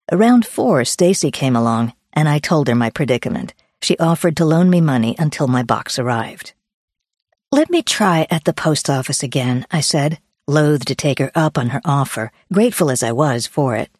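A woman's voice: low voice, darkish tone, no echo, clean studio sound. A woman reading a first-person memoir as a scripted audiobook narration.

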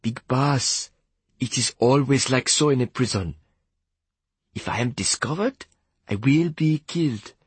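A man's voice: mid-range voice, animated, unhurried, long pauses, breathy edge, dry recording, pitched above the performer's own voice. A man as a wily, deferential South African prisoner. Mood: earnest, wheedling. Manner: deferential, wheedling.

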